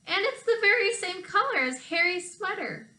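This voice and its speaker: Woman putting on eager, high-pitched storybook children. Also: big pitch swings, normal speed, pushed and bright, roomy sound, full character voice. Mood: amazed, eager. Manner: exclaiming, eager. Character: excited, curious young children in a storybook.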